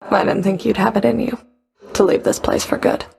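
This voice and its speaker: Creaky cool underworld fury for a video game, dry sarcasm, clipped and stern. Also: female voice, medium pitch, steady tone, brisk, darkish tone, soft background music. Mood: dry, teasing. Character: stern, sardonic Fury, underworld enforcer with a whip. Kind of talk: video game character voice acting.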